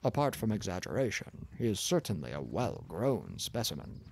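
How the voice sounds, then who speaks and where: A man's voice: fairly low pitch, wildly swooping pitch, normal speed, gravelly, slight background noise, full character voice. A man reading an audiobook, voicing a pompous, contemptuous older male professor in a blustering, condescending manner. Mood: lecturing. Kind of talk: audiobook character voice.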